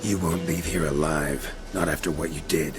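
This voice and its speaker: An actor playing a gruff, world-weary monster hunter in a video game, terse and deadpan with a gravelly voice. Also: male voice, normal speed, noisy background. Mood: grim. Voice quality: low, gravelly. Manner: terse, deadpan, clipped sentences. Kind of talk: video game character acting.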